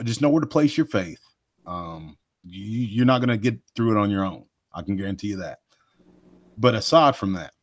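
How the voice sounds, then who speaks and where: Guy hosting a live show, deep low voice, rambly and folksy, really dry sound. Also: no room sound. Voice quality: deep voice that carries loud. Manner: rambling, folksy, with many fillers and asides to listeners. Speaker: host of a cryptid research live show. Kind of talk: casual live podcast chat.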